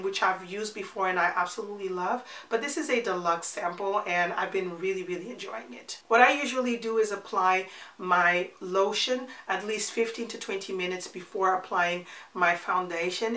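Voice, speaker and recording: A woman's voice: mid-range voice, pushed and bright, reverberant. Congested, nasal woman in her forties. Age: 40s. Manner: chatty, repeats words for emphasis. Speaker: beauty vlogger. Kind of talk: casual makeup tutorial.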